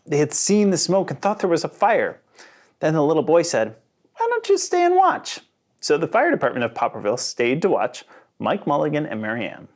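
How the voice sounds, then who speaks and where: A narrator reading a children's storybook aloud with dramatic, expressive emphasis. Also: mid-range voice. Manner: dramatic, with sound words and slowed emphasis.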